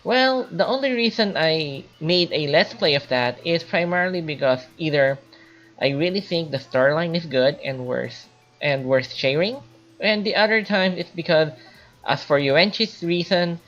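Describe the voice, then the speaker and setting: A woman giving an informal spoken video game review as a channel host, haltingly, thinking aloud and repeating phrases. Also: deep voice. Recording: dull lo-fi mic, faint music behind.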